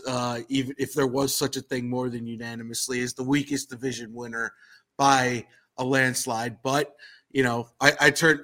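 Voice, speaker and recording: A slightly hoarse man hosting a sports radio show, talking casually and emphatically. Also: mid-range voice, bright, forceful tone. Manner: emphatic, opinionated. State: a little hoarse.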